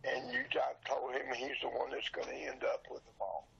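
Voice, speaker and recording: Extremely gravelly, husky older man. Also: medium pitch, a little fast, fairly bright tone, dull audio. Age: older, 60s or above. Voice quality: very gravelly, hoarse, breaking voice. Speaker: podcast guest, radio show collector. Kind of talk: casual interview chat.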